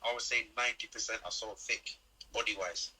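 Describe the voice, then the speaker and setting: Brief: British man, teasing, bright sharp voice, heard through a video call. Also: fairly low pitch, fairly even intonation, brisk. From United Kingdom. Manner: teasing, provocative. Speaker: video chat stranger. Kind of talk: casual video chat.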